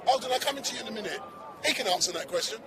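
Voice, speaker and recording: Barbados-born male street reporter, very high voice, chatty and teasing, loud crowd behind. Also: lively intonation, very fast. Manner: chatty, teasing, interrupts to keep the floor. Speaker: street interviewer. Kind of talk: street interview livestream. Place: outdoors at a city street protest. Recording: outdoor recording with crowd around. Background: crowd noise.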